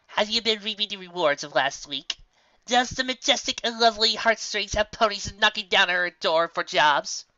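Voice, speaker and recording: A man's voice: high-pitched, normal speed, sharp tone, dry recording, lo-fi sound, voice pushed up. A man voicing a pompous, theatrical female pony stage magician in a fantasy cartoon fan story. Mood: teasing, incredulous. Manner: theatrical, boastful.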